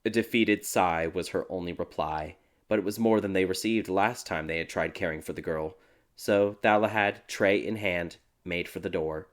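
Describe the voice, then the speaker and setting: A man narrating a dark fairy tale audiobook in a dramatic, scripted storytelling style. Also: low voice, normal speed. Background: background music.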